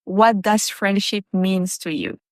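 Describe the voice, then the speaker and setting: Clear-voiced woman hosting, slow pace. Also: medium pitch, fairly even intonation, no room sound, studio quality. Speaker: podcast host. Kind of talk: casual conversational interview.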